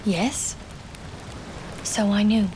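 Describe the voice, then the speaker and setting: A woman playing a young, grieving wartime nurse in a game drama, soft and wistful, with a Newfoundland accent. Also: medium pitch, steady tone, very slow, noisy background. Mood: tender, quiet. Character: grieving wartime nurse, sister of a fallen soldier. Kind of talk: dramatic game cutscene storytelling.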